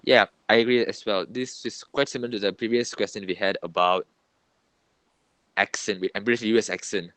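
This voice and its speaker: A man's voice: on the low side, fairly even intonation, a little fast, fairly bright tone, no echo. A young man with a Malaysian English accent, chatting casually as a podcast co-host. He rambles, repeats himself and tacks on "lah". The sound is dry and close, with no room around it. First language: Tamil. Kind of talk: casual chat.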